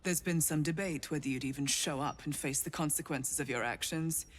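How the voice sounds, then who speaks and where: An actress playing a cold, disdainful cultist woman in a fantasy game, with a haughty, deliberate, sneering delivery. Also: deep voice. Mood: haughty, scornful. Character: cold disdainful female cultist, menacingly formal.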